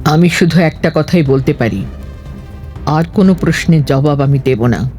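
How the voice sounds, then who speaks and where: A middle-aged mother in an audio drama, grave and measured. Her voice is fairly low yet very expressive, over faint music. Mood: stern, anguished.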